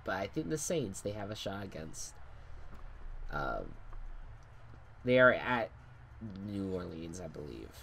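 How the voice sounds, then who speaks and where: Congested young male gamer, low-pitched, loose and profane, repeats himself a lot. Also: big pitch swings, slow pace, creaky, long pauses, fairly bright tone, breathy edge. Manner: rambling, swears casually, repeats words. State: congested, stuffy nose. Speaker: gaming commentator. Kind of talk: casual commentary while playing a football video game.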